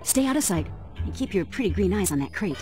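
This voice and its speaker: A woman's voice: medium pitch, very animated, fast talker, over loud music, natural voice. A woman playing a businesslike but caring spy agency handler, giving mission briefings in a video game. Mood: brisk, concerned. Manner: efficient, businesslike.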